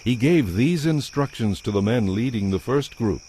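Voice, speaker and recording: A man narrating a dramatized audio Bible in a measured, scripted storytelling style. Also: mid-range voice, expressive, mellow, slight background noise.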